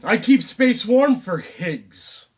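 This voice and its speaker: A man performing a stage comedy monologue as a neurotic, resentful theatre critic, declaiming dramatically and deliberately. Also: very high voice, very animated, husky, pitched above the performer's own voice, heavily acted. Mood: brooding, grandiose. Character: neurotic male theatre critic consumed by second-string resentment.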